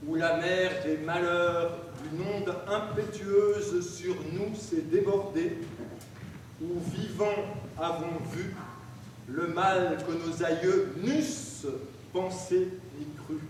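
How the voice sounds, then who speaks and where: A man on stage reading grammar examples and old texts aloud in a deliberate, declamatory way, in a live performance. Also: sits high, fairly even intonation, a little hiss or hum. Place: large hall, live performance. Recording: echoey hall from far off.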